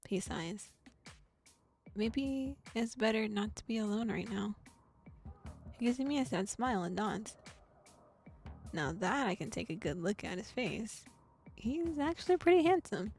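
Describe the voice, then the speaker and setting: A woman voicing a scheming young male demon who calmly narrates in the first person while hunting a victim, reading a visual novel aloud. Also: a little high, unhurried, pauses often, faint music behind.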